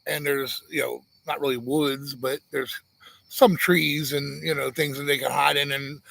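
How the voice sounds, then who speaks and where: A man with a flat, monotone delivery chats casually as a live-stream co-host, teasing and circling back on himself with plenty of restarts. His voice sits a touch high for a man and sounds close and dry. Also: measured pace, vocal fry, no room sound, rough recording. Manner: teasing, rambling, many restarts. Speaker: homestead channel co-host.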